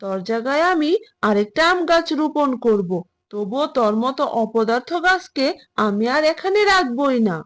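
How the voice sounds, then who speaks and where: A woman plays a greedy tiger husband from a cartoon fable, boastful and scornful. The voice is high for an adult and exclaims its way through each phrase, full of self-importance. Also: animated. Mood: scornful, angry. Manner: boastful, exclaiming, with drawn-out laughs. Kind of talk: Bangla cartoon fable voice acting.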